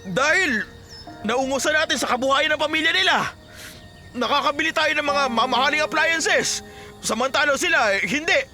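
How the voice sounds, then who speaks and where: A man plays a proud middle-aged farmer father in a Filipino radio drama, indignant and disdainful. His voice is extremely high for a man and very piercing, with forceful delivery. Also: normal speed, faint music behind, heavily acted. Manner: forceful, declamatory.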